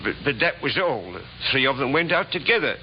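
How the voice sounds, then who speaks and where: An actor playing a timid old stage doorkeeper in a radio drama, speaking hesitantly and deferentially with a slight stammer. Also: male voice, mid-range voice, very animated, a little fast, close to an everyday voice. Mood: deferential, earnest. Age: older. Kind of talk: 1950s radio drama acting. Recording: scratchy, dull 1950s radio recording.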